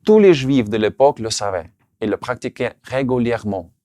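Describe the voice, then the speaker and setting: A man who speaks French, his language, giving an earnest, measured sermon. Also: medium pitch, expressive, dark tone, dry recording, clean studio sound. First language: Russian. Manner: earnest, measured, slight restarts on words. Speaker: preacher.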